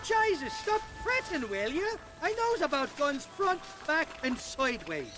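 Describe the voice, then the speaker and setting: A man's voice: very high voice, very animated, measured pace, music in the background, heavily acted, rough recording. A man playing a cocky, boastful Irish schemer with an Irish accent in a Western video game. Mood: impatient, boastful. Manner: boastful, blustering. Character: cocky, fast-talking Irish schemer in the Old West.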